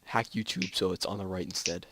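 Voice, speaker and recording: A man's voice: sits low, steady tone, brisk, fairly bright tone. A man chatting casually as a co-host in an offhand, joking way. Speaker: co-host of a fan fiction reading channel. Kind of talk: casual chat.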